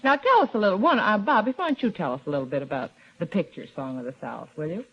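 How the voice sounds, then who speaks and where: Fast-talking American woman on old radio. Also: lowish voice, lively intonation, breathy edge. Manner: chatty, coaxing, prompting with questions. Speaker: radio interviewer. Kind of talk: chatty 1940s radio interview. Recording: dull, lo-fi old radio recording.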